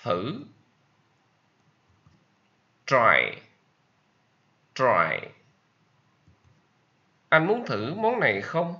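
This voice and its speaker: Slow, lively-pitched man with a Vietnamese accent. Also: lo-fi sound. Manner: repeats each English sentence slowly, alternating with Vietnamese. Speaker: English teacher. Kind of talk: slow vocabulary lesson with repetition.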